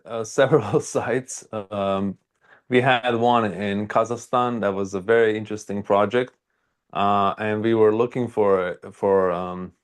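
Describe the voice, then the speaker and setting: Deep, gravelly Croatian man. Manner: halting, frequent hesitations and filler words. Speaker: archaeologist and video creator, interview guest. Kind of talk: casual interview storytelling.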